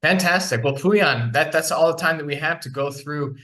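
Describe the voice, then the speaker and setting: A man's voice: a little high, normal speed, fairly bright tone. A man hosting a casual interview, chatting and putting questions to his guest. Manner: conversational, asks leading questions. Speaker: podcast host.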